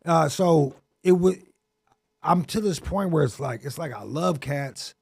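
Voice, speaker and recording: An American man hosting a podcast in casual, blunt, sweary slang, with a gravelly, husky voice. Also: on the high side, unhurried, a little mellow, no echo. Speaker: podcast host. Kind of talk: casual chat.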